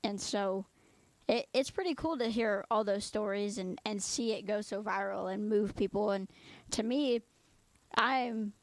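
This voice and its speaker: A woman's voice: mid-range voice, measured pace, no echo, rough recording. A woman in her twenties interviewing a guest in a friendly, chatty way, rambling a little. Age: 20s. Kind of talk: casual interview.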